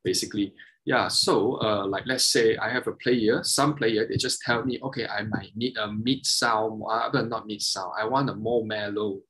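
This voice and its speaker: A man whose first languages are Mandarin and Cantonese talks through a video call. His voice is low and husky, and he halts and repeats himself while working through technical explanations. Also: fairly even intonation, fairly bright tone, rough recording. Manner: halting, many restarts and fillers, explains technically. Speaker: guest, double bassist and violin maker. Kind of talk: casual interview over video call.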